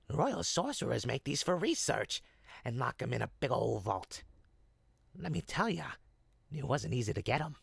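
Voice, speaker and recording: Gravelly little old goblin salesman, sneaky smooth talker, pitch swooping all over, roleplay audio. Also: no echo, heavily acted. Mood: suspicious, menacing. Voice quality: raspy, creaky. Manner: smooth-talking, wheedling, folksy street hawker.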